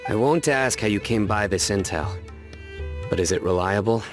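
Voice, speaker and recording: Actor as a grave low-voiced game investigator, over music. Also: male voice, moderate pace, natural voice. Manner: terse, grave. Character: stern young man, grim investigator. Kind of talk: game dub voice acting.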